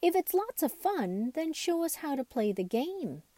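A woman voicing an excitable, playful cloth doll who is eager for fun, in a children's storybook reading. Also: high-pitched, a little slow, no echo, pitched above the performer's own voice.

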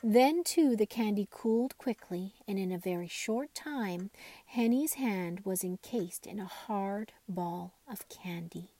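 A woman reads a bedtime tale for children in a soft-edged, mellow voice. Her delivery is expressive and deliberate, as a scripted storybook narration. The recording is very dry, with no room sound. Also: medium pitch, dry recording. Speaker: storybook reader.

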